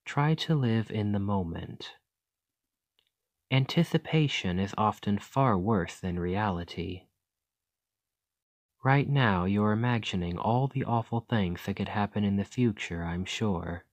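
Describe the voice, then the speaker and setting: Male actor as earnest scholar, low voice, dry close sound, gentle, hesitant, rambling, ASMR roleplay. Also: unhurried, no echo. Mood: reassuring. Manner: hesitant, chatty, self-interrupting, gentle.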